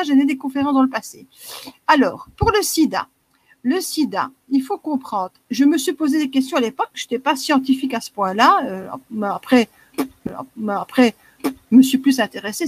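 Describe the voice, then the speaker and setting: A woman's voice: sits high, no echo. A woman in her sixties with a Belgian French accent, talking earnestly and rambling a bit as a caller on a live video call. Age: 60s. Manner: earnest, rambling, repeats herself. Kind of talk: casual chat on a video call.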